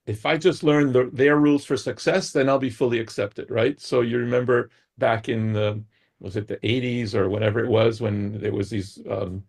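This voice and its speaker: Gravelly, husky-voiced man explaining ideas. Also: mid-range voice, darkish tone. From United States. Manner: explains with frequent "right?" check-ins. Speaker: guest expert. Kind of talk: explanatory talk-show conversation.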